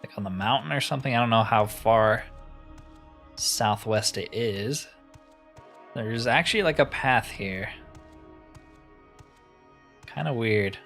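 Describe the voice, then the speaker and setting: A man's voice: lowish voice, slow pace, long pauses, a bit bright, soft background music. A man giving casual, informal let's play commentary on a roleplaying video game, thinking aloud as he plays.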